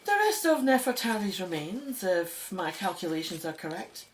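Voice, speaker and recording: A woman's voice: fairly low pitch, sing-song, normal speed, a little hiss or hum. A woman playing an older, gracious, commanding in a radio drama. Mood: gracious, keen. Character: seasoned woman Egyptologist, gracious, commanding team leader.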